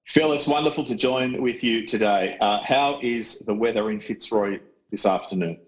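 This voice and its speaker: A man co-hosting a podcast, asking measured questions with some fillers. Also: fairly low pitch, lo-fi sound. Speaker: podcast co-host. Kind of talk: podcast interview.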